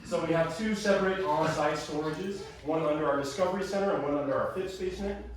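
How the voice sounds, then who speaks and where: A man giving a lively museum talk with slides to an audience, chatty and energetic. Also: fairly high pitch, quick pace. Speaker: museum staff presenter. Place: meeting room with audience. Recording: echoey room, far from mic.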